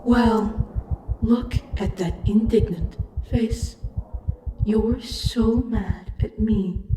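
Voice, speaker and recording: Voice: mid-range voice, very slow. An actor playing a taunting, cruelly curious disembodied supernatural being in an audio roleplay, speaking in a mocking, theatrical way that savors each word. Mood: scornful. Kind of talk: audio roleplay, addressed to listener. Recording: echoey, distant, with humming noise.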